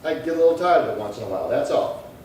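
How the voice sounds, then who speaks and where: A man playing a calm, dutiful police sergeant in an old-time radio crime drama, speaking in a clipped, matter-of-fact, polite way. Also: medium pitch, rapid-fire, lightly acted. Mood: businesslike. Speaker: actor. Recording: echoey room from far off, faint noise.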